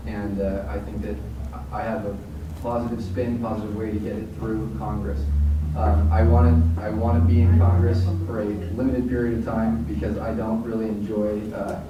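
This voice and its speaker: A young man campaigning for Congress answers luncheon questions in an earnest, halting way, full of fillers. His voice sits fairly low. He is picked up from well across a noisy, echoing room. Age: young adult. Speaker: congressional candidate. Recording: echoey room, from far off, noisy.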